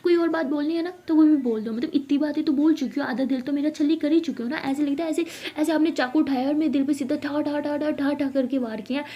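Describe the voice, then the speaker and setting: A woman's voice: high voice, steady tone, fast talker, hardly any pauses, mellow, lo-fi sound, pitched above the performer's own voice, close to an everyday voice. A woman voicing a sulky, self-pitying teenage girl who complains in a whiny, melodramatic way.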